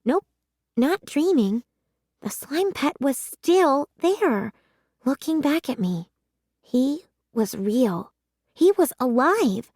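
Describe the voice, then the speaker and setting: A woman reads a children's story aloud as narrator. Her voice is fairly high and she goes slowly and expressively, in a close, dry recording. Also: no room sound. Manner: deliberate, expressive.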